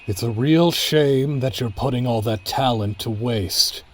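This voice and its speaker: A man playing a domineering retired rap star father in an animated game cutscene, mocking and theatrical as he draws out his words. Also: mid-range voice, slight background noise, lightly acted. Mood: scornful. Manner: mocking, theatrical, drawn-out delivery.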